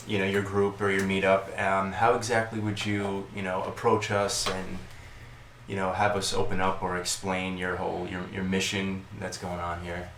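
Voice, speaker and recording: A man with a very deep, level voice asks his question loosely, filling gaps as he goes. The room is echoey, with a faint hiss underneath. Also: fairly bright tone. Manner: hesitant, many "you know" fillers. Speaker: interviewer. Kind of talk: casual interview questions.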